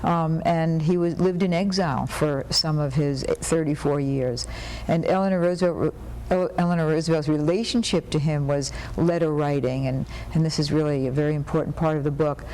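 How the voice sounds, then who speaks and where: A woman biographer explaining her subject earnestly and passionately, pausing with frequent "um"s. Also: low voice, fairly even intonation, warm tone, a little hiss or hum, rough recording. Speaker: biographer guest.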